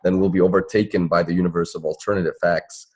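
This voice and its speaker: A man with a deep, husky voice talks on a town hall panel. He gives earnest, exhorting advice, now and then repeating a word before moving on. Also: fairly even intonation, fast talker, a little mellow. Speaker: panelist, civil rights attorney and activist.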